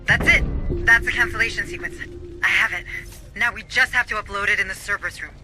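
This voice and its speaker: An actor playing a matter-of-fact technical guide who advises a squad in a scripted sci-fi game. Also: male voice, high voice, fast talker, bright, forceful tone, faint music behind. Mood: focused.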